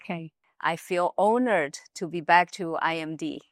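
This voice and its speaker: A woman's voice: lowish voice, normal speed, no room sound, clean studio sound. A middle-aged woman from China with a Chinese accent, recounting her career as an interview guest. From Wuhan, China. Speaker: interview guest, business executive.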